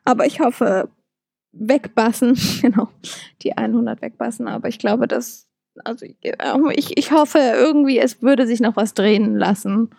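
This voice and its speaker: A woman's voice: fairly high pitch, a little slow, vocal fry, mellow, husky, no room sound, studio quality. A young woman with a Berlin accent co-hosting a podcast, talking casually with frequent fillers, restarts and laughs. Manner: chatty, many fillers and restarts, laughs often. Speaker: podcast co-host.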